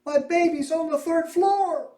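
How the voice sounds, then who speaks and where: A man's voice: extremely high pitch, measured pace, dark tone, roomy sound, voice pushed up. A man voicing a frantic mother screaming for her baby in a storybook reading. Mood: screaming, desperate.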